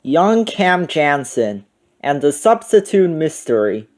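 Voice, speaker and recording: A man reads a children's book aloud as narrator. His voice is on the high side for a man and moves up and down with a very animated, expressive delivery. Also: slow. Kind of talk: read-aloud storybook narration.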